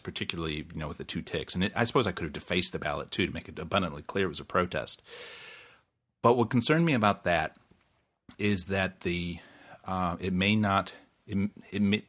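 A man's voice: deep voice, a bit bright. A man hosting a podcast, talking casually with restarts and wry asides. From New Zealand. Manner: chatty, frequent restarts and self-corrections, wry laughs. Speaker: podcast host. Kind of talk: casual solo podcast monologue.

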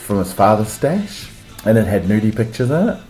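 A man in casual podcast chat, husky and coughing from a cold, candid and giggly, telling stories with halting restarts. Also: lowish voice, very animated, darkish tone, soft background music, rough recording. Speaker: podcast co-host.

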